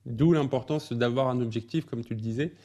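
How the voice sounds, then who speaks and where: Mellow encouraging male podcast host. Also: mid-range voice, fairly quick. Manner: encouraging, sums up and restates the guest's points. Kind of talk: casual interview talk.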